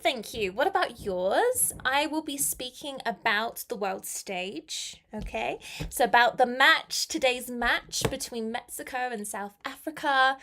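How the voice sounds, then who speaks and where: A woman's voice: a little high, pushed and bright. A young woman, a teacher chatting casually on a live stream, answering viewers' comments and laughing often. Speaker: English teacher.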